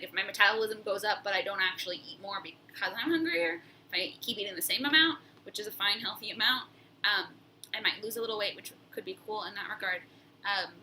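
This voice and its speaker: Very bright, nasal young woman. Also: mid-range voice, slight background noise, poor audio. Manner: reflective, hesitant, many fillers and restarts. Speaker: vlogger. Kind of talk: casual personal vlog talk.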